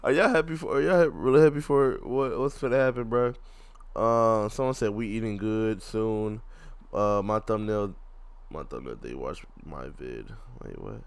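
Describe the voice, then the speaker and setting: Slangy man reacting, lowish voice. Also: moderate pace, gravelly, clean tone. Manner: slangy, rambling, repeats himself. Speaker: gaming YouTuber reacting to a video. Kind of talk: casual live reaction commentary.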